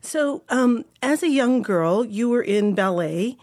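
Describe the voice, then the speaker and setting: A woman's voice: fairly high pitch, animated, slow, a little mellow, no room sound, clean studio sound. A woman hosting an interview, asking friendly prompting questions. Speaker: host.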